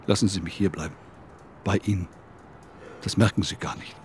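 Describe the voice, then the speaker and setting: An actor in a radio play as a morbid, clinging, sleepless man, brooding and insistent, often hushed. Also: sits low, steady tone, normal speed, noisy background, natural voice. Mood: fearful, pleading. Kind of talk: intimate radio play monologue. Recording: studio radio drama, faint music.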